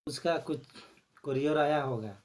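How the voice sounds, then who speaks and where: A man's voice: fairly high pitch, a little hiss or hum. A man hosting his own channel, chatting casually to viewers and explaining a recorded call. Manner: relaxed, explains slowly with fillers. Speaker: channel host.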